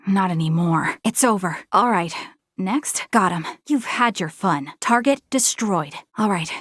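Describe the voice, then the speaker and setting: A woman voicing an earnest, determined young female soldier in a video game, with spirited, crisp military delivery. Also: mid-range voice, animated, measured pace, a bit bright, dry recording, studio quality. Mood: confident, composed. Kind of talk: video game battle voice lines.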